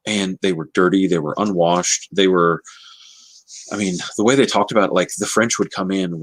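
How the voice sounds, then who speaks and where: Low-voiced man chatting about a book. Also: no echo. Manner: halting, searching for words. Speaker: book discussion host. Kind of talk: casual book discussion.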